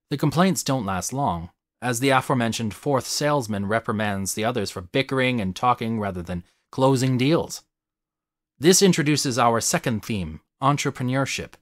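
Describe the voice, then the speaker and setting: A man's voice: medium pitch, fairly bright tone, no echo. Man narrating a dry, close-miked video essay.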